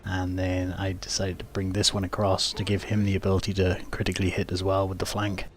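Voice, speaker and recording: Low-voiced man giving casual game commentary. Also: completely flat delivery, a little fast, faint music behind. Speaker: gamer narrating his own playthrough.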